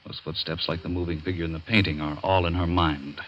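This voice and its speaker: An actor playing a stern, authoritative doctor with a firm, measured, admonishing manner in a 1940s radio drama. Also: male voice, low-pitched, steady tone, dull audio, slight background noise, lightly acted. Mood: clinical. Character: stern, authoritative male doctor with cool, controlled temper.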